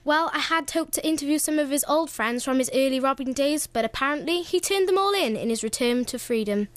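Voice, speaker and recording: High-voiced woman playing a roving reporter. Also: brisk, no echo, natural voice. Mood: chirpy. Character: chirpy, blunt roving news reporter Roger. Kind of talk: mock news report in radio play.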